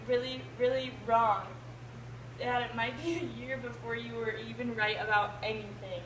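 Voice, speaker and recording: Female teacher, fairly high voice, emphatic storytime delivery, recorded at a distance with clear background noise. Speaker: teacher reading to a class. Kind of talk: expressive picture-book read-aloud. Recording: echoey, noisy room, distant microphone.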